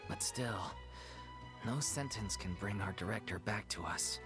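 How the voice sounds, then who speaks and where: A woman's voice: rumbling low, takes it slowly. A woman playing an earnest, humble theater troupe member in a video game dub, speaking sincerely and plainly. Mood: somber. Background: clear background music.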